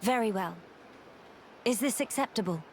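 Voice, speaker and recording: A woman's voice: mid-range voice, lively intonation, slight background noise, natural voice. An actress with an English accent playing a poised, regal young noblewoman who speaks formally in a video game cutscene. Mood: composed, curious. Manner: formal, measured. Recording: clear game music behind.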